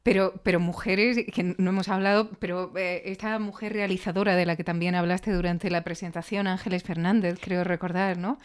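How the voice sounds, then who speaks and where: A woman's voice: fairly low pitch, steady tone, a little fast, few pauses, clear, resonant tone. A woman from hosting a conversational interview, curious and openly appreciative of her guest. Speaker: podcast host and interviewer.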